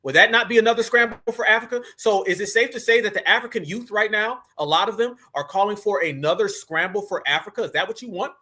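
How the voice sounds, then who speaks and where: A man's voice: high-pitched, quick pace, hardly any pauses, sharp tone. A man giving heated reaction commentary, speaking straight to his audience as family in a fired-up, preaching way. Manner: fired-up, addresses audience directly as "fam" and "y'all". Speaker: online commentator.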